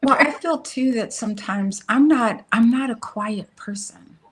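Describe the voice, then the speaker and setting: A middle-aged woman on a panel who talks in a lively, self-aware way and laughs at herself. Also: fairly high pitch, a little slow, breathy edge. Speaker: guest panelist.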